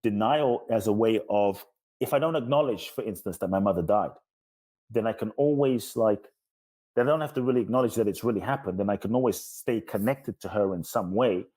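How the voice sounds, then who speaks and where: Low mellow man, emphatic self-help teacher. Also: a little fast. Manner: emphatic, repeats phrases in lists. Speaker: guest, spiritual teacher.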